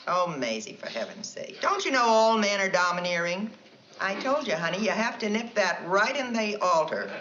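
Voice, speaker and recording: A woman's voice: medium pitch, sing-song, normal speed, slight background noise. A woman playing a chatty married woman gossiping on the phone, firing off punchlines and laughing at them. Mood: gossipy. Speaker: comedienne doing a phone monologue. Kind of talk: 1960s sitcom acting. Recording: dull old TV audio with loud studio audience.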